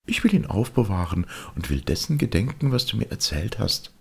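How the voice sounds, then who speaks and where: A man voicing a tender, soft-hearted young wife in a storybook reading. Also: fairly low pitch, big pitch swings, a little fast, vocal fry, slightly rough, pitched above the performer's own voice, heavily acted. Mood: earnest.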